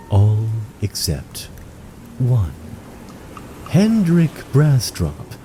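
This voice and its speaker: A man narrating a scripted fantasy audio drama in a measured, dramatic storytelling manner. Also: fairly low pitch. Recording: background music under the voice. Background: music.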